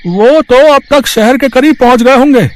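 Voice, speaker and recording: A man's voice: extremely high pitch, normal speed, pitched above the performer's own voice. A man voicing a helpful village bullock cart driver in a story, with a hesitant manner. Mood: concerned.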